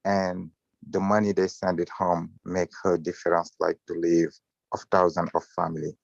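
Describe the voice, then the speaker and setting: A man from Senegal talks haltingly, full of "like" and fillers. His voice is very low and gravelly. The sound is dry and muffled, like a video call. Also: level tone, no room sound. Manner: halting, filler-heavy non-native English. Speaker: entrepreneur.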